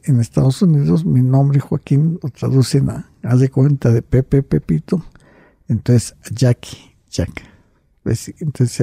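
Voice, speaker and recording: Older man, husky, gravelly, storytelling with frequent restarts. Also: medium pitch, mellow, dry recording. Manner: storytelling with tags like "right?" and "you see?", frequent restarts. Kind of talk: recounting personal memories in conversation.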